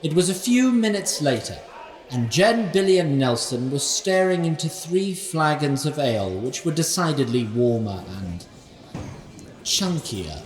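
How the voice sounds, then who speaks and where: Man as sprite narrator, dry asides, lively pitch, far from the mic, music behind. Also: echoey room, in a large hall, background noise. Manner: dry, wry storyteller asides. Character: wry sprite narrator, male, ancient. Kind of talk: fantasy audio drama narration.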